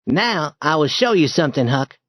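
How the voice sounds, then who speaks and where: A man voicing an eager, bold boy who leads an adventure in a storybook reading, in a lively and urgent manner. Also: fairly high pitch, moderate pace, dry recording. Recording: dull dry lo-fi recording.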